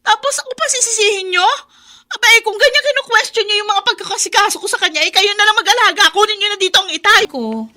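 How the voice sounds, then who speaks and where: Weary Filipino daughter, very high voice. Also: female voice, expressive, quick pace, sharp tone, full character voice. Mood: aggrieved. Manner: pleading, long-suffering, emotional. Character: weary, devoted middle-aged daughter caring for her father. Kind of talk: Filipino radio drama acting.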